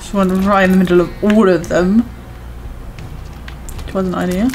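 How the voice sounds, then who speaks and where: A woman chatting casually while playing a video game, her voice a little hoarse from talking too much. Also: fairly low pitch, flat delivery, normal speed, a little mellow, slight background noise, low-quality recording. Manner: chatty, self-reassuring, talks to viewers. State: voice going hoarse from overuse. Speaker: gaming streamer. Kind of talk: casual gameplay commentary.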